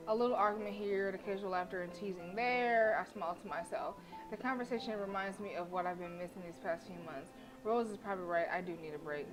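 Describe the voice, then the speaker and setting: A woman's voice: on the low side, poor audio. A woman streaming a game, reading story text aloud with blunt, sassy, casual asides. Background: game music.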